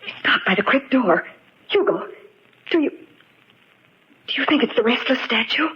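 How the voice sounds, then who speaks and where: A muffled 1940s radio recording of a nervous young heroine. Her voice is very high and swooping, fearful and melodramatic. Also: expressive, unhurried. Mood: frightened. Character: anxious young lady, detective's companion. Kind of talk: 1940s radio mystery acting.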